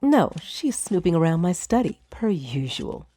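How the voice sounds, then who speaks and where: A woman's voice: lowish voice, big pitch swings, unhurried, dark tone, breathy edge, faint music behind, full character voice. An actress playing a poised, wry noblewoman of a wizard's tower in a fantasy audio drama. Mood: exasperated. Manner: dry, amused, composed.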